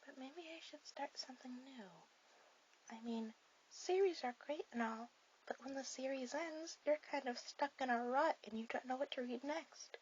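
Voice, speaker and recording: A woman voicing a shy, sweet, bookish teenage anime girl in a soft-spoken, hesitant ASMR roleplay. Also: high voice, moderate pace, close to an everyday voice. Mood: quietly excited. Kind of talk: ASMR romantic roleplay addressed to the listener. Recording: dull lo-fi audio with clear hiss.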